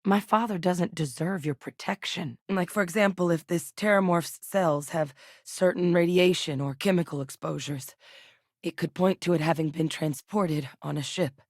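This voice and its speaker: A woman voice actor playing a serious, determined officer in a sci-fi video game, speaking in a measured, businesslike way. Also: on the low side, no room sound, studio quality. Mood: businesslike, resolute. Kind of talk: scripted game character dialogue.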